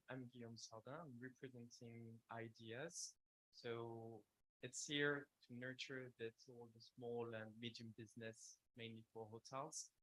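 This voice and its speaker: A man's voice: medium pitch, fairly bright tone, poor audio. A man introducing himself on a panel in an upbeat, formal way.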